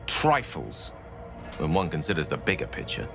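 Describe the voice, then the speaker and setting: An actor playing an urbane, calculating scholar in a video game, eloquent and formal. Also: male voice, extremely low pitch, sing-song, normal speed, gravelly, over music, exaggerated voice. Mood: reasoning. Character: urbane, scholarly man, calculating and self-assured. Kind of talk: video game character acting.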